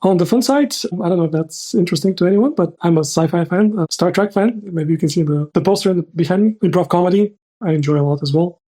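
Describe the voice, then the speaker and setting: A middle-aged man speaking as an interview guest, explaining things in a measured, structured way with careful definitions. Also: sits high, warm tone. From Bulgaria. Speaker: podcast guest, risk manager.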